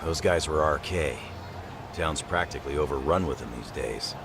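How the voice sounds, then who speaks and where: An actor playing a gruff, quick-tempered but chivalrous streetwise man in an English-dubbed crime game, speaking in blunt slang. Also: a bass voice, noisy background. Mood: casual, grumbling. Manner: blunt, slangy, swings into loud astonishment.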